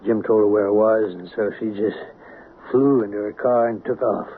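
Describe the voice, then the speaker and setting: A man's voice: lowish voice, steady tone, moderate pace, husky. An American man playing a folksy, plain-spoken small-town sheriff, hesitant and apologetic yet probing, in an old-time radio drama. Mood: puzzled, chatty. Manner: folksy, hesitant, rural turns of phrase. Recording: narrow, noisy old radio broadcast.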